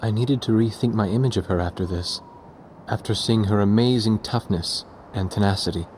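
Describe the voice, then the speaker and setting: Earnest war reporter played by a man, low and sober, measured delivery for military game cutscenes, faint noise behind. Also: darkish tone, natural voice. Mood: reflective, sober. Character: earnest, reflective male war reporter narrating his memories. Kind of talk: scripted game cutscene narration.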